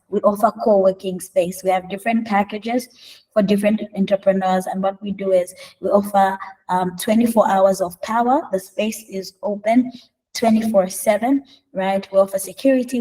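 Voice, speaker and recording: Mellow-voiced woman presenting a workspace. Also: medium pitch, rough recording. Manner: list-like, repeating "we offer". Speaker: co-working space representative. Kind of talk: short presentation. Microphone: video call.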